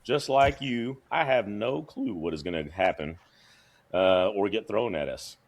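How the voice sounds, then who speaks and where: Deep animated man hosting. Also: measured pace. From Kentucky. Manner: jokey, animated, folksy. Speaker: podcast host. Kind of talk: casual chat. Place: podcast studio.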